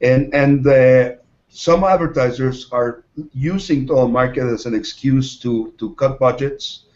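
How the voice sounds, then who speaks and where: A middle-aged man from Mexico talks through his answers in a measured way. He starts over often and pauses on fillers. The recording sounds dull and lo-fi. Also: mid-range voice, darkish tone. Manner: hesitant, many fillers and restarts. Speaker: ad agency president, guest. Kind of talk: interview answers.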